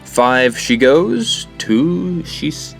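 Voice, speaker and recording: A man's voice: mid-range voice, lively intonation, extremely slow. An actor playing an earnest teenage boy who leads and narrates for a group of survivors in an audio drama. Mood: grave. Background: music behind the voice.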